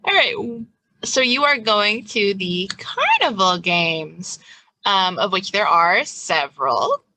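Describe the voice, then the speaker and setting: A woman running a tabletop game as game master, improvising narration in halting, informal phrases. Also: mid-range voice, sing-song, bright, forceful tone, slight background noise, low-quality recording.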